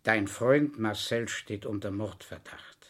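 A man's voice: lowish voice, unhurried, fairly bright tone. An actor playing a stern, dry middle-aged father and investigator in a German radio crime drama, speaking with reproving authority. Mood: indignant, firm. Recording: vintage radio play studio recording.

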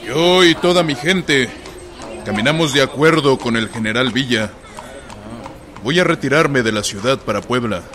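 An actor playing a terse revolutionary general in a radio drama, with a Mexican accent and slow, declarative delivery. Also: male voice, medium pitch, animated, fairly bright tone, close to an everyday voice. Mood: firm, terse. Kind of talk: Spanish-language historical radio drama acting.

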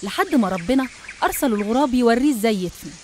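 An Egyptian woman tells a scripted religious story. She moves along quickly in a fairly high voice, with slight noise in the recording. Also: animated, a little mellow. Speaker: narrator.

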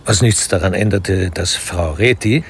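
A man narrating a radio crime drama in a dry, measured, wry literary style. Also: sits low, slight background noise.

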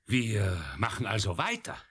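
A reasonable, uncertain professor in a radio play. His low voice is husky, with lively melody. He weighs things carefully and sounds torn. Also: a little slow, exaggerated voice. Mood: troubled, uncertain. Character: hesitant, torn male professor, reasonable mediator. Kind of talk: German radio play acting.

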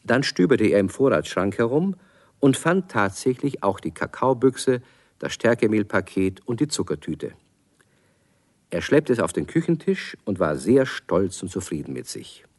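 Man, radio play narrator, fairly low pitch, lively intonation, expressive scripted storytelling, dry studio sound. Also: normal speed, dry recording. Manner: expressive, clearly phrased.

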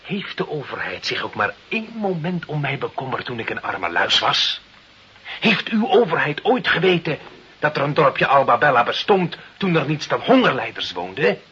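An actor in a Dutch radio play playing a wily village crime boss, mock-humble and slyly defiant with authority. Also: male voice, a little high, sing-song, normal speed, pushed and bright. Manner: deferential on the surface, slyly defiant. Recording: muffled, hissy old radio recording.

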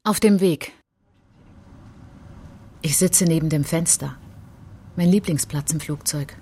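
A woman playing an introspective, wounded traveller who recalls an outcast childhood in a measured, poetic radio drama monologue, with a husky voice. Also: low voice, warm tone, a little hiss or hum. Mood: tense, reflective. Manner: measured, deliberate, short phrases. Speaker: narrator and lead of an autobiographical radio play.

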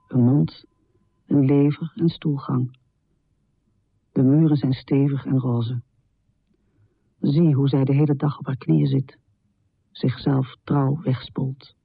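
A woman's voice: a bass voice, slightly rough, no echo, highs cut off, heavily acted. An actress playing a troubled housewife poet in her thirties, speaking an intimate, confessional radio drama monologue in dramatic phrases. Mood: haunted, reflective. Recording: thin, band-limited radio recording.

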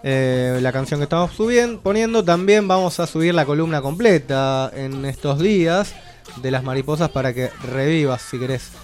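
Higher-voiced Rioplatense man over music. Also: moderate pace, darkish tone. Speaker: radio host. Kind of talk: casual radio chat. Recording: music playing behind.

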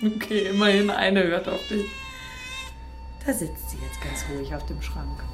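A woman's voice: medium pitch, normal speed, natural voice. A woman playing a frank, wry young woman on a date in a naturalistic audio drama, casual and teasing in manner. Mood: matter-of-fact. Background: music.

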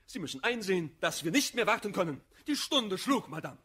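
A jealous suitor in a classical verse radio comedy. His voice is rough-edged and fairly high, with lively swings in pitch. He speaks formally and declaims his demands with pride. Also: fairly quick, exaggerated voice. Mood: demanding. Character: jealous, insistent male suitor, proud and formal.

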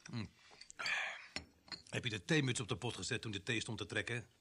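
An actor in a radio play playing a male police sergeant who is blunt and fussy, measured in questioning and bossy when grumbling. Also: fairly low pitch, pushed and bright. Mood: brisk, fussy.

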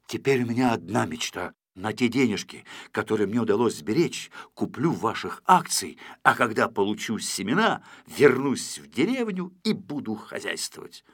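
A man's voice: on the low side, very animated, moderate pace, few pauses, breathy edge. A man voicing a humble, earnest working man in a storybook audio play, with a simple, modest manner. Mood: modest, hopeful. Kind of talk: audiobook storybook character acting.

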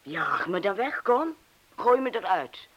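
An actress playing a reckless, teasing young party-goer in a radio drama, blunt and provocative, on a hissy old recording. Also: female voice, mid-range voice, big pitch swings, slow pace. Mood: defiant.

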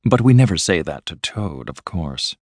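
A man voicing a sensible, loyal river rat from a storybook in a measured, serious manner. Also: sits low, very animated, no room sound. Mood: fond. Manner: measured, serious, matter-of-fact. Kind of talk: storybook character voice.

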